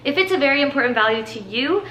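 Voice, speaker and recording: A woman's voice: sits high, expressive, fast talker, pushed and bright, roomy sound, a little hiss or hum. A woman in her twenties, a fitness coach whose first language is Portuguese, giving tips in a chatty, upbeat Q&A with lots of "like"s. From Brazil. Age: 20s. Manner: chatty, filler-heavy with many "like"s, upbeat. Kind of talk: casual Q&A monologue.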